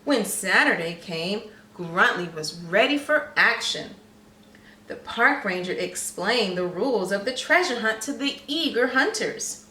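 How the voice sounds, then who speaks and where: A woman reading a children's storybook aloud in a teacherly, expressive way, pausing to question and explain. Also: medium pitch. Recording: roomy, echoey, slightly distant microphone.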